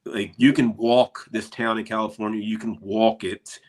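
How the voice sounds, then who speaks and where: A man's voice: on the low side, little pitch movement, moderate pace, a little mellow. An American man chatting casually in a rambling, laid-back way, telling stories as a paranormal investigator. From eastern United States. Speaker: paranormal investigator and show cast member. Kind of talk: casual chat.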